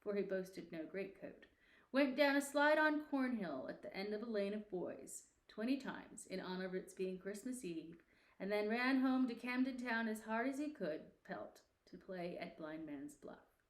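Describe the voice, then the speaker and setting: A woman reading a classic story aloud as narrator, with expressive, theatrical, deliberate phrasing. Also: mid-range voice, reverberant, poor audio. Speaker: audiobook narrator.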